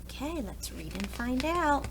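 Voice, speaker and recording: A woman narrating a children's story aloud for preschoolers, with dramatic and deliberate phrasing. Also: high voice, very animated, background noise.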